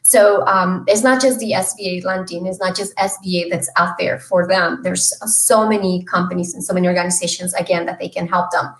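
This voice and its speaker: A woman's voice: on the low side, steady tone, brisk, hardly any pauses. Earnest woman from Mexico on a video call. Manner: earnest, with many fillers and restarts. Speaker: guest, small business loan expert. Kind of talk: casual interview chat. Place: home room. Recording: roomy video call audio.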